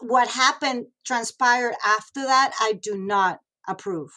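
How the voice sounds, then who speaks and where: A woman's voice: fairly high pitch, slow pace, pushed and bright. A woman in her thirties, originally from Mexico, talking frankly in a casual interview, with many fillers and restarts. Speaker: guest, radio host and model.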